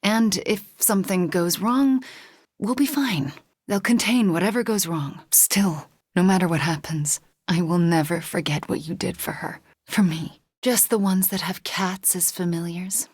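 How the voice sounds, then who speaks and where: A powerful young sorceress from a superhero game. Her voice sits a little low for a woman and is recorded very dry, with no room around it. She speaks earnestly and introspectively, a self-doubting heroine with a wry edge. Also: measured pace, breathy edge, no echo. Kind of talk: video game voice acting.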